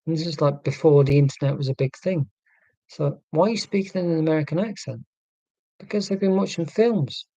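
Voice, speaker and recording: A middle-aged man with a neutral southern English accent, talking slowly and deliberately in a casual, reflective monologue. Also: a little high, mellow, no room sound, dull audio. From Scotland, raised in England. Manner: slow, deliberate speech with pauses. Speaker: vlogger.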